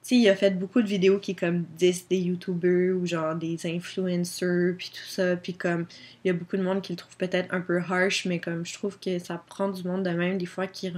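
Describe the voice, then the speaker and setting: A woman's voice: on the low side, flat delivery, moderate pace, darkish tone. A young woman with a Québécois French accent chatting casually to her webcam, answering questions with many fillers. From Quebec. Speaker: vlogger.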